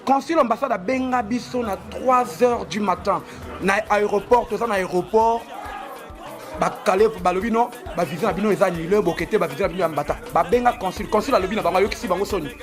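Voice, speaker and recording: Fast high-voiced man over clear music. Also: crowd noise. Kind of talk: casual chat.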